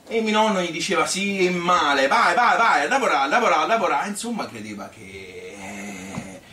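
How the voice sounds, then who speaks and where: An old Tuscan granny played by a man tells her childhood memories in a theatrical monologue. The pitch swings widely as she rambles and repeats herself in homely colloquial speech. The sound is distant and echoey, with some clear noise behind. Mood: animated quoting. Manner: rambling, repetitive, colloquial, animated. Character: elderly Tuscan grandmother Annunziata reminiscing about her hard childhood. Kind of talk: theatrical monologue, spontaneous spoken storytelling.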